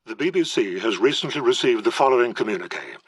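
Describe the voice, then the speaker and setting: An actor playing a formal British radio newsreader, reading an official bulletin in a measured, impersonal manner. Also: male voice, mid-range voice, lively intonation, slightly rough, dry recording. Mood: formal, neutral. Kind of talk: scripted news bulletin in a radio drama.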